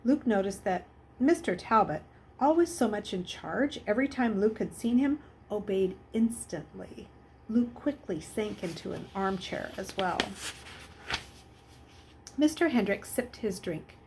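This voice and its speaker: A woman's voice: medium pitch, expressive, a little slow, darkish tone, a little hiss or hum, rough recording. A woman narrating a children's novel aloud from a script.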